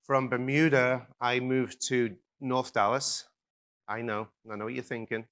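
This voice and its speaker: A man with an Irish-tinged Liverpool accent giving a conference keynote in a relaxed, joking way, with lots of ums and restarts. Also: mid-range voice, unhurried. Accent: Irish-tinged Liverpool English. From England, raised near Liverpool in an Irish family. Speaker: keynote speaker. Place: conference stage.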